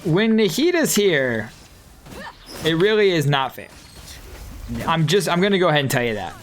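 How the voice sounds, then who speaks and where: Playful male streamer with lively pitch. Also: normal speed. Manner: playful, joking banter with game and chat. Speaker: game streamer. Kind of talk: casual live gameplay commentary.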